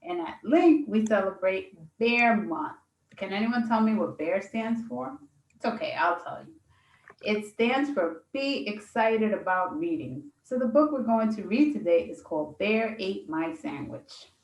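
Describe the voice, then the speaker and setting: A woman's voice: medium pitch, animated, measured pace. A woman hosting a children's read-aloud program, giving a scripted welcome to families and young listeners. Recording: roomy, echoey sound.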